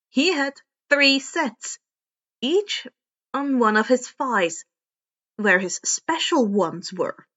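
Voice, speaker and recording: Female story reader, higher-pitched voice, expressive narration, slightly dull lo-fi recording. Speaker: podfic reader. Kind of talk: expressive fan fiction audiobook narration.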